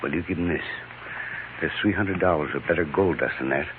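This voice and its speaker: A rough Old West miner with a very deep voice and blunt, rustic speech. It comes through as a noisy, narrow old radio broadcast. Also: male voice, fairly quick. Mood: grim, earnest. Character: rough, earnest miner speaking for the townsmen. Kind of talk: 1950s radio western acting.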